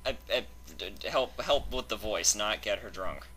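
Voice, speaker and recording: A man's voice: fairly low pitch, moderate pace, pushed and bright, a little hiss or hum. A man hosting a fanfic reading channel, chatting casually and stammering a little as he introduces things.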